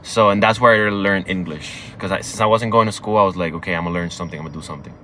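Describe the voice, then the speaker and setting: A young man from Cuba, Spanish his first language, tells his story in a low, deep voice with a bright, forceful edge. He talks candidly and laughs between lines. Faint hum fills the background. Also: rapid-fire. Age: young adult. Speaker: guest. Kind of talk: casual storytelling. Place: inside a car.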